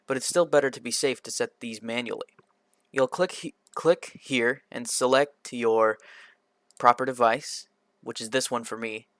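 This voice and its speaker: A man presenting a scripted software tutorial in an instructional, step-by-step manner, occasionally stumbling over a word. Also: mid-range voice, dry recording, clean studio sound. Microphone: computer microphone in a quiet home room, voice-over.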